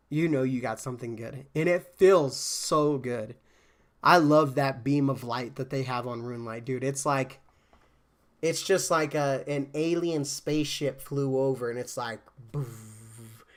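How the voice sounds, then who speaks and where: Relaxed male streamer, congested, rambling chatter, mid pitch. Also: takes it slowly. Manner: relaxed, rambling, lots of fillers and restarts. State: congested from a cold. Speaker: game streamer. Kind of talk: casual live-stream chat.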